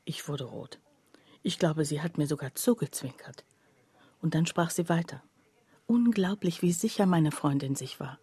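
A woman acting in a radio play as a reflective friend who narrates in a confiding, measured way. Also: sits low, mellow, husky, no room sound. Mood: weary, confessional. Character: reflective woman narrator, loyal, envious friend of accused mother.

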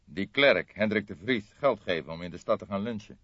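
A grave older male detective from an audiobook, voiced by a male reader. He speaks deliberately and thoughtfully, as someone weighing each fact. The sound is dry and a little muffled. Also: lowish voice, expressive, fairly bright tone, dry recording, pitched above the performer's own voice. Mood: pensive.

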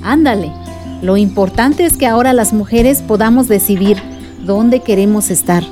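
A woman's voice: medium pitch, normal speed, music in the background, close to an everyday voice. A woman playing an affectionate, encouraging aunt with a Mexican accent in a radio drama. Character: warm, encouraging aunt, easily winded.

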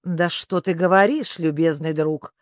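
A storyteller voicing a wistful, excitable sea turtle creature who talks in a plaintive, sobbing manner. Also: measured pace, no room sound, studio quality, natural voice. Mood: tearful protest. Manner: sobbing, plaintive, breaking into squeaks and yells.

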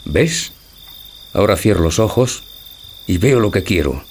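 An old husband with a deep voice, gentle and dreamy. He declaims tenderly, like a secretive schemer in a stage tragicomedy for radio. Faint noise and crickets sit behind him. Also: lively intonation, unhurried. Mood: dreamy, wistful. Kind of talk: theatrical radio drama acting.

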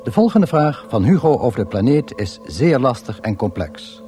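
An actor playing the dryly reassuring narrator of a space radio play, with wry formality. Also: male voice, mid-range voice, big pitch swings, moderate pace, mellow, lightly acted. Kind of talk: scripted narration. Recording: music behind the narration.

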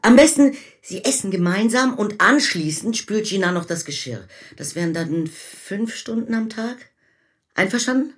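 A hard-nosed mother played by a woman talks in a middle-pitched voice. She is businesslike and pushy, laying down terms in the manner of a radio drama. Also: animated, moderate pace, lightly acted. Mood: brisk, bossy. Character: brisk, bossy, hard-nosed mother arranging her daughter's affairs. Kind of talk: radio play acting.

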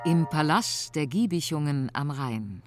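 Narrator for fantasy audio drama: unhurried, deliberate scripted storytelling over clear music. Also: medium pitch, lively intonation. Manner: measured, storytelling.

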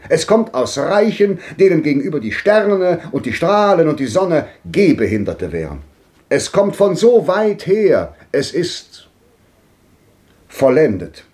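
An actor playing a grandly bitter old man in a 1950s radio drama, declaiming with sweeping swings between loud and hushed. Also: fairly high pitch, big pitch swings, normal speed. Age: older.

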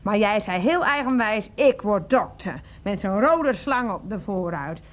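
An actress in a Dutch radio play voicing a doting, fussy older aunt who coos and reminisces. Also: female voice, mid-range voice, animated, measured pace, lightly acted. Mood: fondly reminiscing. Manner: cooing, reminiscing.

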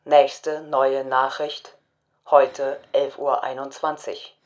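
A woman's voice: rumbling low, level tone, takes it slowly. A woman voicing an impassive automated voicemail announcement, mechanical and word by word. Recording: very noisy voicemail playback with clicks.

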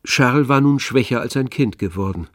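A man's voice: mid-range voice, expressive, fairly quick, a bit bright, no echo, studio quality. Grave male radio drama narrator.

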